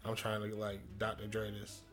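A young man with a deep voice, a rapper talking casually as a guest in an interview, slangy and quick to laugh. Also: monotone, a bit bright, faint music behind. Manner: laid-back slangy talk, laughs often, swears freely.

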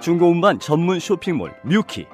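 A man's voice: a little high, very animated, normal speed, some chatter behind. A man reading a scripted radio advertisement as an announcer. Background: loud music.

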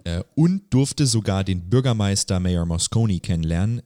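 A man with a low voice tells a story in a casual podcast style. His phrasing is slangy and hesitant, with English words dropped in. The sound is dry, with very little room. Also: lively intonation, moderate pace, dark tone, no echo. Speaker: podcast co-host.

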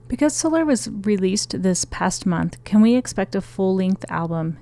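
Woman radio host reading scripted questions; mellow tone. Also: medium pitch, animated, normal speed, vocal fry. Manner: reads from a prepared script. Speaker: radio interviewer.

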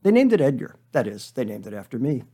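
Man reading as a rambling deadpan schoolteacher, lively husky voice. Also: medium pitch, fast. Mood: resigned, deadpan. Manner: deadpan, hesitant, self-justifying digressions. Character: weary, rambling, defensive male schoolteacher named Edgar. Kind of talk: dramatic monologue, comic short story reading.

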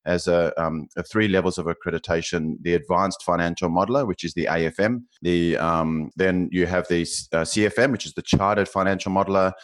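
A man with a South African accent speaks in a very low, deep register. He is a finance professional in his forties, guesting in an interview. He talks thoughtfully, hesitating often and restarting his phrases. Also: steady tone, brisk, few pauses. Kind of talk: podcast interview.

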